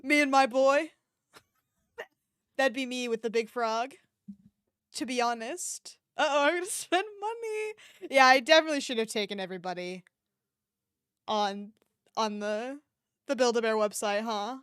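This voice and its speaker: A woman's voice: on the high side, moderate pace, pauses often, a bit bright, clear, resonant tone, no echo, clean studio sound. A woman livestreamer chatting casually and reacting to an online shop with playful, mock-dramatic exclamations.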